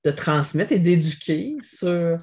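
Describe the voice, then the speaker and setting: A woman with a Québécois accent, a family business mediator explaining as an interview guest in a measured, reflective way. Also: on the low side, mellow, slightly rough. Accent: Québécois French. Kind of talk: interview answers.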